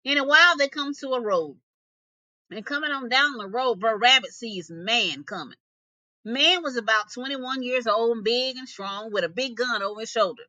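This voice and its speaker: Bright, high-voiced woman storyteller. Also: normal speed, no echo, low-quality recording. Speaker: storyteller reading aloud. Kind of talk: expressive folktale storytelling.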